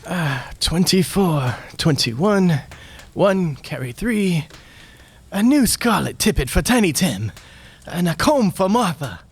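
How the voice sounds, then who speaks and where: Man acting a meek, husky, slow-spoken clerk. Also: sits high, very animated, dry recording, full character voice. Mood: absorbed, muttering. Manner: deferential, hesitant. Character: meek, kindly, timid male poor clerk. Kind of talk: radio play acting.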